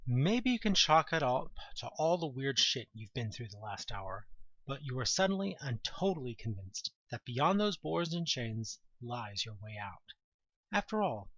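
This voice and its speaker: A man reading scripted second-person audio drama narration in a deliberate, dramatic storytelling manner. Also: mid-range voice, big pitch swings, a bit bright, no room sound, dull audio.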